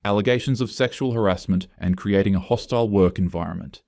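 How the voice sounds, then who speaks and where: Man playing officious HR woman in a story, deep register, clipped, sure of herself. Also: quick pace, a little mellow, no echo, clean studio sound, natural voice. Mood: cold, official. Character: self-assured, cold female human resources investigator.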